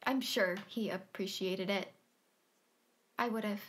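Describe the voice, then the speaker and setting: A woman's voice: mid-range voice, slow, close to an everyday voice. A woman playing an earnest, timid but persistent young client, speaking plainly and probingly in a naturalistic audio play. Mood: quiet, hesitant. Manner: plain, probing short questions and statements.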